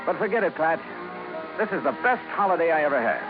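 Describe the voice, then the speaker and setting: An actor playing a wry American insurance investigator, narrating in punchy old-time radio noir style. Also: male voice, fairly high pitch, big pitch swings, quick pace. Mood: warm, reflective. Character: wry freelance male insurance investigator narrating his case. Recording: dull vintage radio, music underneath.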